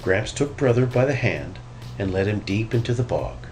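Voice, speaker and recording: Male storybook narrator: lowish pitch, very expressive melody, read-aloud for children. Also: normal speed, a little hiss or hum, rough recording. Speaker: storybook reader.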